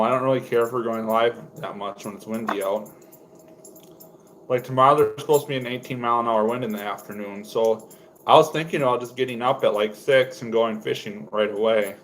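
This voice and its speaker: A young man from Wisconsin with a low voice, chatting casually on a home livestream while making fishing lures, rambling with lots of fillers. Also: a bit bright, slight background noise, rough recording. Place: indoors at home.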